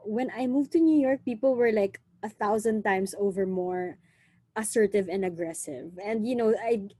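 Young Filipino woman telling stories in a mellow voice over a dry close mic, lively and chatty. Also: medium pitch, moderate pace, dry recording. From Philippines. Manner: lively, frequent "you know", mixes in Tagalog words. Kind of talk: casual conversation.

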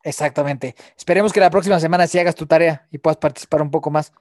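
A man's voice: a little high, fairly even intonation, rapid-fire, darkish tone, no echo, studio quality. A man with a Mexican accent hosting a podcast, teasing his co-hosts and swearing freely in casual banter. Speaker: podcast host. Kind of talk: casual chat.

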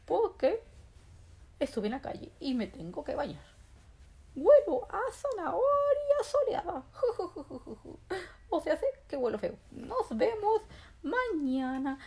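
A woman voicing an excitable, childlike little boy rabbit who tells a children's bedtime story with wide-eyed exclamations and drawn-out words. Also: extremely high pitch, exaggerated voice.

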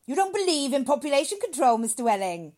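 A woman's voice: sits high, very animated, normal speed, darkish tone, voice pushed up. A woman voicing a severe, pompous old male doctor who lectures emphatically in a dramatic story reading. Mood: severe, lecturing. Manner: emphatic, declamatory.

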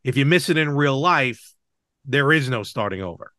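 A man talking casually as a podcast co-host, explaining things in an animated way and checking in with the listener. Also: mid-range voice, normal speed, dry recording, studio quality. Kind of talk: casual chat about movies and games.

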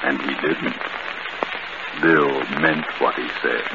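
A very deep-voiced phantom storyteller speaks slowly, taunting and dramatic, as if he knows every secret. It is 1940s radio suspense, on a noisy old broadcast recording. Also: steady tone, vocal fry, highs cut off, a loud crowd, full character voice. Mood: sinister gloating. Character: sinister, mocking, all-knowing phantom narrator, gloating male storyteller.

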